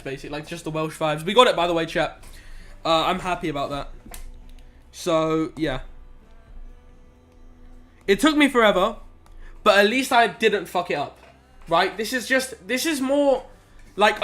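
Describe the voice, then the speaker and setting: Young male streamer, high voice, laid-back slangy chat while working problems aloud. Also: pushed and bright. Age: young adult. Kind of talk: casual live-stream chat while solving maths.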